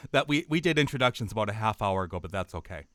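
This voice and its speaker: A man hosting a casual podcast interview, speaking politely and in a halting way. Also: fairly low pitch, expressive, fairly bright tone, no echo. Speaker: podcast host.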